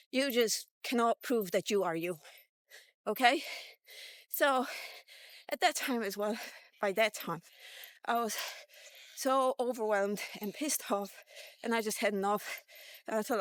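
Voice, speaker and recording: A woman's voice: fairly high pitch, fairly bright tone, no echo. A woman in her fifties giving a casual, blunt, sweary and rambling vlog rant. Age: 50s. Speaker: vlogger. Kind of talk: casual rant to camera while walking.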